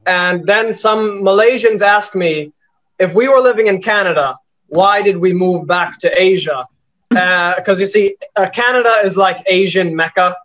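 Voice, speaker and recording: Young man, high voice, deadpan comic on a muffled webcam feed. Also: normal speed. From Bangladesh. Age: young adult. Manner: deadpan, dry delivery with frequent uh fillers. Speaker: stand-up comic. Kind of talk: stand-up set on a video call. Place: small room indoors at home. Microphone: laptop webcam on a video call. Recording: muffled video-call audio.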